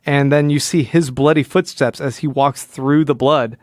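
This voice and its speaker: A man's voice: medium pitch, steady tone, moderate pace, dry recording, clean studio sound. A man co-hosting a podcast, talking casually and analytically, often rephrasing himself as he explains. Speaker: podcast co-host.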